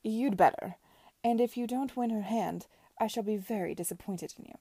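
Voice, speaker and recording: Brief: woman reading haughty older lady aristocrat, curt, condescending, mellow tone. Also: mid-range voice, moderate pace, slightly rough, no room sound. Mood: imperious.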